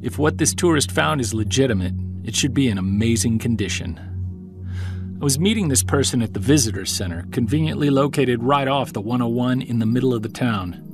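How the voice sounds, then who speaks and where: An actor playing a wry, observant podcast investigator, narrating and interviewing in a measured, scripted style. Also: male voice, mid-range voice, music in the background, natural voice.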